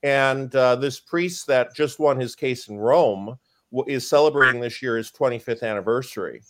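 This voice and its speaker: Booming-voiced priest, emphatic and indignant. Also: male voice, moderate pace. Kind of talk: casual conversation on a live stream.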